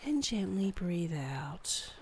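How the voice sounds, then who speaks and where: A woman guiding a meditation in a soft, breathy, soothing voice with measured delivery and long pauses. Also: on the low side, big pitch swings, slight background noise. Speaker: meditation guide and podcast host. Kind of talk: guided body scan meditation, scripted narration.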